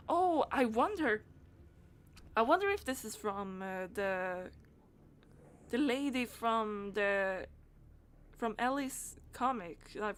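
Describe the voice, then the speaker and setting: A woman's voice: medium pitch, a little hiss or hum. A woman doing casual live game commentary, rambling and giggly as she reacts aloud to what happens on screen. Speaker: game streamer.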